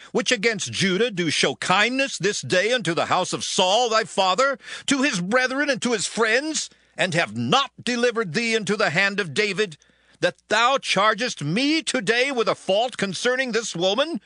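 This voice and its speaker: A man's voice: high voice, animated, moderate pace, sharp tone, no room sound. A man playing a proud, wrathful ancient Hebrew army commander in a dramatized scripture reading, with declamatory archaic speech. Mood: indignant, wrathful.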